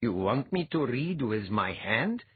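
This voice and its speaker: A husky-voiced audiobook reader voicing a nervous young woman who speaks slowly and anxiously. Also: medium pitch, sing-song, no echo, narrow, tinny audio, voice pushed up. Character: pale blonde girl, nervous and fervent.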